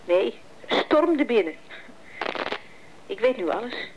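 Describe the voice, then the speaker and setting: A woman's voice: fairly high pitch, very animated, slow, dull audio. An actress playing a wistful, affectionate married woman in a radio play, asking intimate, probing questions. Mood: insistent. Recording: noisy radio play recording.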